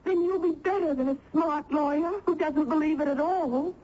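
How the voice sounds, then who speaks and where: A woman's voice: high-pitched, normal speed, close to an everyday voice. An actress playing an earnest, emotional young village woman in a heartfelt, dramatic old-time radio style. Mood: hesitant, pleading. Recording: dull vintage radio recording.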